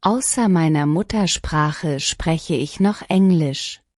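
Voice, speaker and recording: A woman reading short lesson sentences one at a time, each clearly and deliberately, for learners to repeat. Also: low-pitched, darkish tone, dry recording, clean studio sound. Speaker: language lesson reader. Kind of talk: scripted shadowing practice reading.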